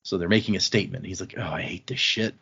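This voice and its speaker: A man's voice: low-pitched, steady tone, quick pace, creaky, sharp tone, breathy edge, muffled recording. A man hosting a casual book podcast, recapping the story conversationally and loosely voicing characters' lines, with some swearing. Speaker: podcast host recapping a novel.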